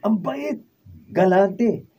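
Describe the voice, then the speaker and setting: A humble, eager Filipino magician in a radio drama. His voice sits high and swings widely in pitch, with an unhurried showman's delivery. The recording is a little dull. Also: expressive, warm tone, slight background noise, heavily acted. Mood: proud, reassuring. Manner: showy when performing, deferential to his boss. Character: humble, eager male street magician, a struggling family man.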